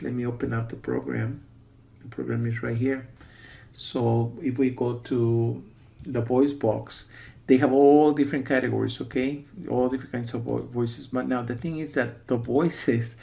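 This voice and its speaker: A man's voice: mid-range voice, vocal fry, darkish tone. A man from the USA giving a casual spoken review as a warning to viewers, rambling and insistent, often repeating himself. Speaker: software reviewer.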